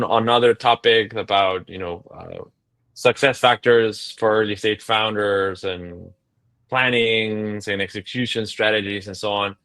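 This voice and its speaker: Male moderator, native Spanish speaker, gravelly, close dry sound, casual hosting of a live session. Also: on the low side, fairly bright tone, dry recording. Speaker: host and moderator.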